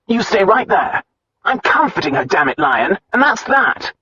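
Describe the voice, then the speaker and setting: A young gentleman, voiced by a male narrator, speaks in a raised, teasing tone that turns soothing. His voice sits a little high and husky, in a dry, slightly dull recording. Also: big pitch swings, normal speed, no room sound, heavily acted. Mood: teasing, tender. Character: amused, tender young gentleman suitor.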